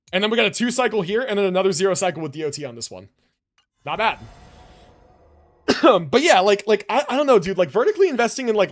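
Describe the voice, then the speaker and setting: A man livestreaming a video game with casual commentary, thinking out loud in slangy, sweary talk. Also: sits high. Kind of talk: casual gaming stream commentary.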